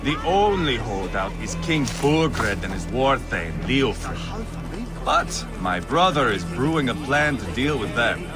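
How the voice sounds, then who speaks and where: A crude, mocking Viking warlord. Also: male voice, medium pitch, sing-song, measured pace, music in the background. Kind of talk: video game cutscene acting.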